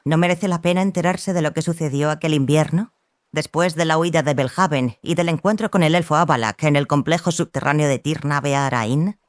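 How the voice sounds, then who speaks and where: A woman playing an assured, scholarly female mentor in an audiobook drama, speaking with conviction and deliberate, questioning phrasing. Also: sits low, dry recording, studio quality, natural voice. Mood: assured, probing.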